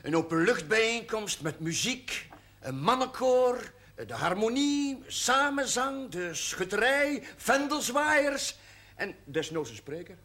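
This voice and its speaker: Exuberant chaplain, sing-song swings, slow pace, good-humoured, television drama. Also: male voice, very high voice, big pitch swings, bright, forceful tone, heavily acted. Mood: enthusiastic. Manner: deliberate, enumerating, jovial. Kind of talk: 1970s television drama acting.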